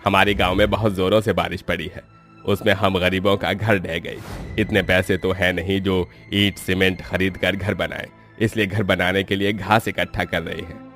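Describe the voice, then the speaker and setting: A man's voice: sits low, little pitch movement, normal speed, fairly bright tone, faint music behind. A man voicing a humble, weary poor villager in a cartoon story, polite and plaintive.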